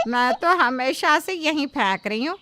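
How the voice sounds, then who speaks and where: A woman's voice: high-pitched, lively intonation, a little slow, sharp tone, exaggerated voice. A woman voicing a brazen, quarrelsome neighbor in a cartoon story, dismissive and bossy.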